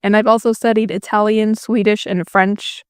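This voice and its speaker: A woman's voice: medium pitch, flat delivery, normal speed, clear, resonant tone, no room sound. A young woman from Finland, a native Finnish speaker, answering viewer questions in a casual voice-over with dry, self-deprecating jokes. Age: young adult. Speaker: YouTuber.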